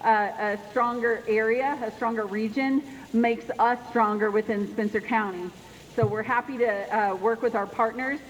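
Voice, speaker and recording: A soft-spoken woman with a Southern Indiana accent speaks unhurriedly at an annual meeting, with chatty asides to the room. Her voice sits a little high. It rings out in a big banquet hall with a long reverb. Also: fairly even intonation, moderate pace, highs cut off. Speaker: chamber executive director. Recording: reverberant hall with faint background noise.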